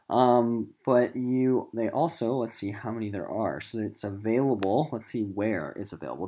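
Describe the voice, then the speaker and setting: A man's voice: mid-range voice, darkish tone. A man speaking as a middle school literature teacher, giving an informal recorded lesson in a casual, conversational way straight to his students. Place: voice-over on a screen recording of slides.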